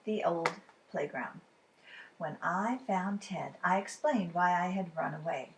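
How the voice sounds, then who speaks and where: A woman reading a children's story aloud as storytime reader, expressive and dramatic in delivery. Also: lowish voice, gravelly, noisy background. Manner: expressive, dramatic pauses, drops to whispers. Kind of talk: animated children's story narration.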